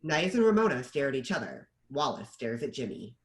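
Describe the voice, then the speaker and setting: A man reads screenplay stage directions as narrator. His mid-range voice moves with very lively intonation, in the style of scripted storytelling. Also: mid-range voice, fairly quick.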